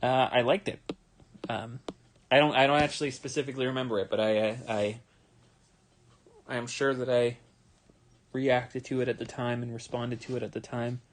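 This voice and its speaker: A tired young man with a relaxed, rambling manner, talking casually with many fillers as he draws live and explains his steps. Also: mid-range voice, moderate pace, pauses often. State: tired, yawning. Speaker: manga artist streaming his drawing. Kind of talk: casual livestream commentary while drawing.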